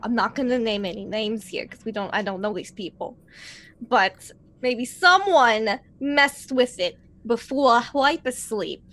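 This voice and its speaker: A woman's voice: fairly high pitch, lively intonation, measured pace, fairly bright tone. A woman improvising in-character dialogue as a player in a tabletop roleplaying game, speaking in a tentative way.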